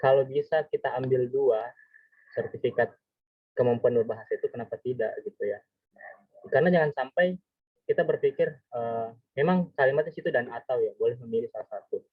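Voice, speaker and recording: Husky man on a tinny call. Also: mid-range voice, fairly quick, no room sound. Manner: conversational, often checks with gitu ya. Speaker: scholarship webinar speaker. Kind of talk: informal explanatory advice to students. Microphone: online video call. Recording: tinny phone-like video call audio.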